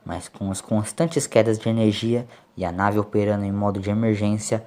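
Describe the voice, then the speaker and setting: A man's voice: on the low side, fairly even intonation, normal speed, warm tone. A man reads a dramatic scripted story as an audiobook narrator. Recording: clear background noise, ambient sound.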